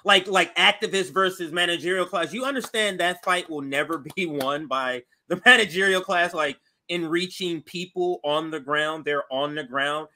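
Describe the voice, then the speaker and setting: An American man co-hosting a casual political show, talking in a halting, repetitive, wryly amused way. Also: fairly high pitch, pushed and bright. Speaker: co-host.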